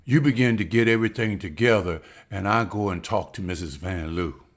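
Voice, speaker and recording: A man's voice: on the low side, brisk, natural voice. A man reading the part of William, a hopeful, respectful husband who has just landed a waiter's job, in a table read. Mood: eager. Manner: earnest, respectful, says ma'am.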